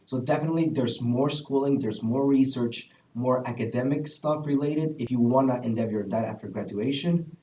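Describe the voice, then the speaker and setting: A young man from El Salvador with a mellow, warm voice answers panel questions earnestly and drops in an "uh" here and there. He sounds set back from the mic in a roomy, echoey space. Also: mid-range voice, normal speed. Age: young adult. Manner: earnest, recommending things with frequent "uh" fillers. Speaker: student panelist. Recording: echoey room, distant mic.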